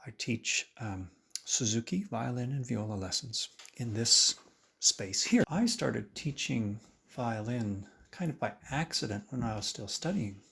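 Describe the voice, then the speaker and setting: A man who teaches violin, talking in a documentary interview, lively and acting out his own past remarks, with frequent restarts. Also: medium pitch, measured pace, gravelly.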